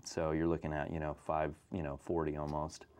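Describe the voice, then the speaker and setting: American guy w a low voice, being interviewed about his job, informal, lots of you knows. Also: monotone, a little fast, darkish tone. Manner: informal, frequent "you know" asides. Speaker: fire dispatch manager, interview guest. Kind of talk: unscripted interview conversation.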